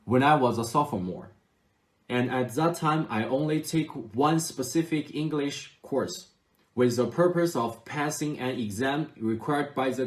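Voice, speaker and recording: A man in his twenties from China with a Chinese accent, giving a formal, carefully worded testimonial to camera. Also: mid-range voice. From Xinjiang, China. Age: 20s. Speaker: online student. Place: small indoor room at home. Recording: echoey room.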